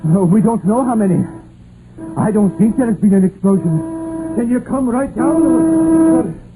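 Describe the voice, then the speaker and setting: An actor playing an anxious mine clerk, flustered and hurried, in an old radio drama. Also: male voice, very high-pitched, animated. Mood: flustered, urgent. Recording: muffled old radio with music.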